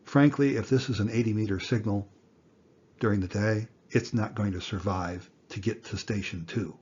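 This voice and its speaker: Low, husky man teaching, slightly muffled. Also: moderate pace, darkish tone. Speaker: instructor, amateur radio teacher. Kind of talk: informal explanatory lesson.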